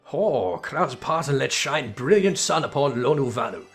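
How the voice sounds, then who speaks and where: A man's voice: medium pitch, big pitch swings, normal speed. Fantasy game creature villager, male player doing the voice: earnest, ceremonious, clipped third-person phrasing, music underneath. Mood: earnest, welcoming. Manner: formal, clipped article-free speech, speaks in third person.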